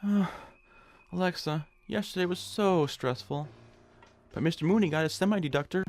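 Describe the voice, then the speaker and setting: Flustered, creaky-voiced nebbish. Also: male voice, high voice, moderate pace, a little mellow. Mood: chatty, uneasy. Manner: stammering, whiny, exclaiming. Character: meek, flustered nebbish man, hapless and henpecked. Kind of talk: modern revival of old-time radio comedy drama acting.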